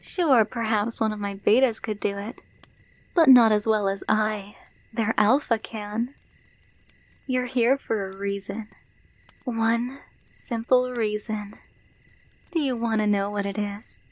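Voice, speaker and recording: A woman's voice: medium pitch, fairly even intonation, takes it slowly, pauses often, dry recording, natural voice, poor audio. A woman playing a menacing, possessive female alpha werewolf, teasing and domineering in an ASMR roleplay, dropping into whispers.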